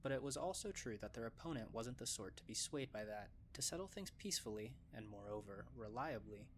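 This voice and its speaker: Narrator for audio drama: man, medium-pitched, scripted storytelling, slight ambient noise behind him. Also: lively intonation, fairly quick.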